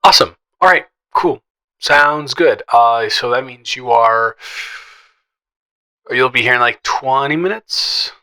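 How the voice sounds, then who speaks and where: Guy playing a teasing best friend type, bright voice, kinda shy n stammery, flirty audio roleplay, chatty. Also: medium pitch. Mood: nervous, friendly. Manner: playful, teasing, halting with stammers and chuckles. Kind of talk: improvised, conversational romantic audio roleplay.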